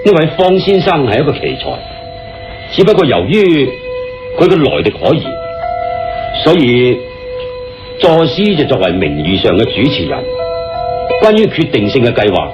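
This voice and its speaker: An actor playing an earnest, deliberate man confiding state secrets in a radio drama, with a Hong Kong Cantonese accent and dramatic phrasing. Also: mid-range voice, big pitch swings, close to an everyday voice. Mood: grave, deliberate. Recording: dull, muffled radio-style recording. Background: background music.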